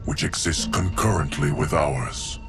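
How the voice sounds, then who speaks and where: A man's voice: a bass voice, lively intonation, unhurried, loud music behind the voice. A man playing an ageless, grave keeper of time in a video game, speaking formally and with solemn certainty. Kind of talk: video game cutscene acting.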